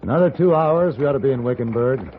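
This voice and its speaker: A man's voice: medium pitch, animated, fast talker, lightly acted. An actor playing a cool, commanding gunman with terse speech in an old radio western. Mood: calm, watchful. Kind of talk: 1950s radio western acting. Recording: phone-like, noisy old radio recording.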